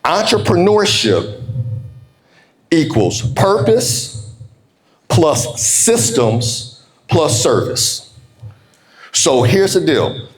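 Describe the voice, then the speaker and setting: An American man giving a motivational keynote, emphatic and folksy, slowing down to repeat key phrases. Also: fairly high pitch, sing-song. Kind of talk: motivational keynote speech with call-and-response. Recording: roomy, echoing live speech.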